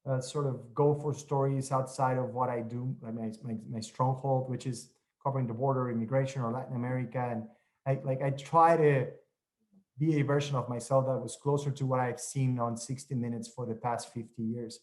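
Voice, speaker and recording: Mexican-accented man, halting panel talk. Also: medium pitch, steady tone, a little mellow, low-quality recording. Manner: halting, many restarts and repeated words. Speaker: journalist panelist. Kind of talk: online panel discussion.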